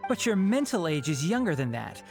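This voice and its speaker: A sharp young male scholar talks in a measured way with a dry, sarcastic edge. His pitch moves lively up and down, and faint game music plays behind him. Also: vocal fry. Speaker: scholar and guardian. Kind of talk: video game voice acting.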